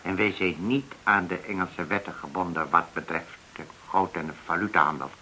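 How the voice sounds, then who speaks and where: An actor in a Dutch radio play playing a suave bank assistant manager, formal, polite, precise and assured. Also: male voice, low-pitched, fairly even intonation, normal speed, sharp tone, a little hiss or hum.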